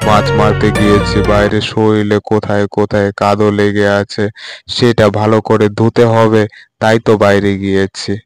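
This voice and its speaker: Man acting as poor village fisherman, weary and plaintive, low monotone, cartoon dialogue, music behind. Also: normal speed, smooth, dry recording, lightly acted. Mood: mildly irritated. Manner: plaintive, sighing, muttering to himself. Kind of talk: amateur cartoon story dialogue. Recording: loud background music under voice.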